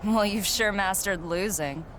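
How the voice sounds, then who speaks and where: A woman's voice: mid-range voice, unhurried, a bit bright, a little hiss or hum, natural voice. An actress playing a scornful, cocky female wrestling rival in a video game cutscene, with a sarcastic, mocking delivery. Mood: dismissive, sarcastic.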